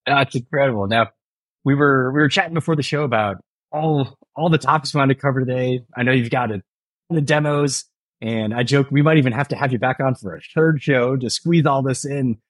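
Husky-voiced upbeat male host, dry close mic. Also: mid-range voice, brisk, dry recording. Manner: upbeat, enthusiastic. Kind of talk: show hosting.

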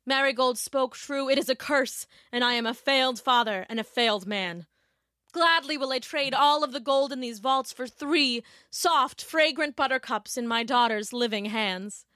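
A woman's voice: high-pitched, moderate pace, sharp tone, dry recording, clean studio sound, voice pushed up. A woman playing a proud, greedy king and father in a story, declaiming in a pompous, theatrical way. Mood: anguished, remorseful.

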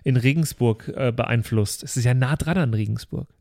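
A man's voice: medium pitch, normal speed, a little mellow, no echo, studio quality. A man chatting casually as a player in an improvised role-playing game, slangy and peppered with English words. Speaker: player and show regular.

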